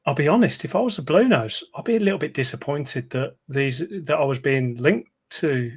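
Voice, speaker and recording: A man's voice: on the high side, animated, moderate pace, husky. A man from northern England with a Birmingham accent, co-hosting a casual football chat in a chatty, hesitant way. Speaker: football podcast co-host.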